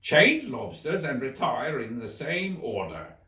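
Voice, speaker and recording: A man voicing a brash, excitable gryphon in a storybook, loud, blunt and quick to interrupt. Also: mid-range voice, voice pushed up. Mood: excited shouting. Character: brash, excitable gryphon, a bossy mythical beast. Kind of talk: theatrical storybook character reading. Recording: roomy echo, distant microphone.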